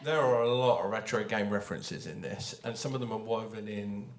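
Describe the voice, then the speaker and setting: A man's voice: fairly low pitch, fairly quick, crowd noise. A man talking casually on a panel in a chatty, playful way, addressing the audience directly. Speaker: panelist, audio drama creator. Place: live event panel in a room. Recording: roomy echoing live panel sound.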